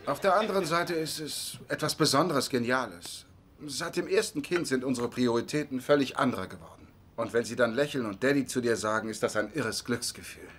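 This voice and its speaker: An actor playing an earnest, sentimental young husband in a dubbed sitcom. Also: male voice, medium pitch, animated, a little fast, fairly bright tone.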